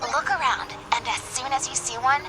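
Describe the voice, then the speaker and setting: A woman voicing a gentle, sensible female cartoon creature in a video game. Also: sits high, normal speed, lightly acted, poor audio. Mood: patient, coaxing. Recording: music behind the voice.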